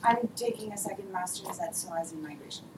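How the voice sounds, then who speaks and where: A woman introduces herself at a meeting, hesitant and full of fillers. The room around her is echoey, she is heard from a distance, and loud noise runs under her voice. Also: mid-range voice, fairly quick. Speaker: immigration attorney. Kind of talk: round-the-table introduction.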